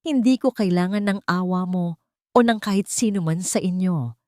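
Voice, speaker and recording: A woman's voice: lowish voice, normal speed, darkish tone, dry recording, lightly acted. Woman narrator as embittered young man, cold, sarcastic, romance storybook, dry close mic. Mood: angry. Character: bitter, cold, wounded young man in wheelchair.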